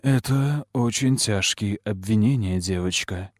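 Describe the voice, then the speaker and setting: A man voicing a stern male inquisitor in an audiobook, grave and measured. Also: sits low, animated, darkish tone, dry recording, studio quality. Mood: grave warning.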